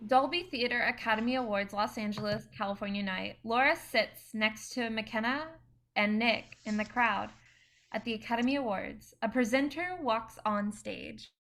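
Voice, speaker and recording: A woman's voice: fairly high pitch, normal speed, fairly bright tone. A woman reading stage directions as scripted narration for a play.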